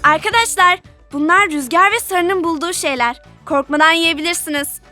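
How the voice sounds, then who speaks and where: A cheerful little sea creature from a children's cartoon, speaks in a very high, bright voice. The delivery is kind and emphatic, like a young leader explaining things to friends, with music underneath. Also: female voice, moderate pace. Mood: reassuring.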